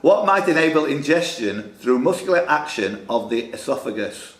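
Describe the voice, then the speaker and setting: Animated male quiz host, echoey room. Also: mid-range voice, moderate pace. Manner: jokey, teasing. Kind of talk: reading quiz questions and bantering. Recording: echoey room recording with background noise.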